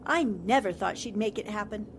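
A woman's voice: mid-range voice, normal speed, background noise, close to an everyday voice. A woman playing a sensible, polite newspaperwoman with a composed, dry manner in a 1940s-style radio drama. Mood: wry.

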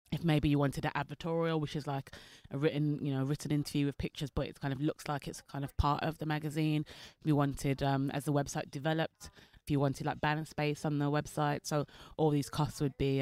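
A woman's voice: low voice, darkish tone, no room sound. Chatty woman, mild Birmingham accent. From Birmingham, England. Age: 30s. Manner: chatty, many fillers, laughs easily. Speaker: guest. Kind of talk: casual interview chat.

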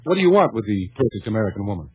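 A wisecracking newspaper reporter in an old radio drama, tossing off dry, sarcastic remarks at a brisk pace. His voice is very husky, with lively pitch. The sound is thin and tinny, like an old broadcast. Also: dry recording, exaggerated voice. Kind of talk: 1940s radio acting.

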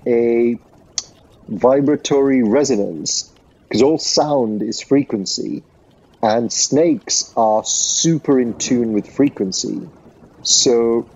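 A man's voice: mid-range voice, warm tone, dull audio, slight background noise. A man who grew up in Sri Lanka, co-hosting a podcast and arguing earnestly in a rambling way, with lots of fillers. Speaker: podcast co-host. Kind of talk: casual debate.

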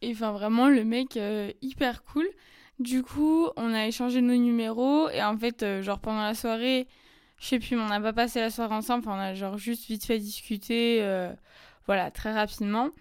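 A young woman telling a personal story in casual, chatty French, full of fillers and quick asides. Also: fairly high pitch, fairly even intonation, a little mellow, clean tone, no room sound, clean studio sound. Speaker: podcast host. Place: lives in Germany.

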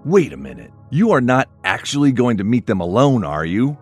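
Man playing a very animated, concerned best friend. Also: mid-range voice, normal speed, breathy edge, faint music behind. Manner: questioning, animated. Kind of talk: audio drama acting.

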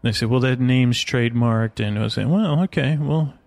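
A man with a creaky voice hosting a bedtime podcast, meandering haltingly through lulling tangents. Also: mid-range voice, darkish tone, no echo. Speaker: podcast host. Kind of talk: rambling bedtime podcast monologue.

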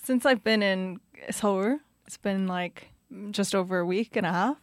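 A young woman raised in Canada hosting a casual interview, bubbly and quick to laugh. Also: mid-range voice, dry recording, studio quality. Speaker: interview host.

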